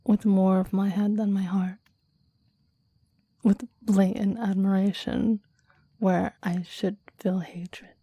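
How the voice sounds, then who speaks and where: A woman voicing a possessive, devoted demon in an intimate ASMR roleplay, speaking in soft breathy whispers with a formal, intense, adoring manner. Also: medium pitch, level tone, slow, long pauses, dry recording. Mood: yearning, adoring. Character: possessive, devoted clingy demon bound to a summoner.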